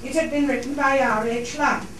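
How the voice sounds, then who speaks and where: A woman's voice: high-pitched, fairly even intonation, moderate pace. A woman host giving a formal welcome and introduction to an audience in a theatre. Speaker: society host introducing a play. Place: theatre, on stage. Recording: echoey hall, distant mic, noisy.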